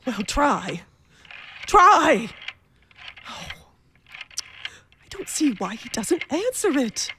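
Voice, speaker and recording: A woman's voice: sits high, sing-song, bright, forceful tone, a little hiss or hum, heavily acted. A woman playing an anxious, demanding bedridden wife in a suspense radio play, fretful, imperious and theatrical in manner. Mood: impatient, agitated. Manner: fretful, imperious, theatrical, halting then insistent.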